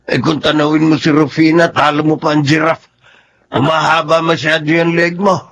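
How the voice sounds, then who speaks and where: A man's voice: on the high side, level tone, moderate pace, gravelly, a bit bright, slight background noise. An actor playing a weary, teasing older man who is fed up with the quarrels in a radio drama. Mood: exasperated. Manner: exasperated, teasing.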